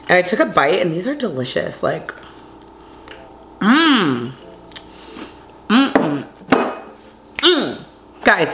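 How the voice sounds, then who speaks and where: Chatty young woman making coffee on camera, kinda low voice, calls everyone babes, roomy kitchen sound. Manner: bubbly, chatty, calls viewers babes and honey. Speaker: lifestyle vlogger. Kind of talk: casual chat to camera. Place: home kitchen, indoors. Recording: echoey kitchen, soft background music.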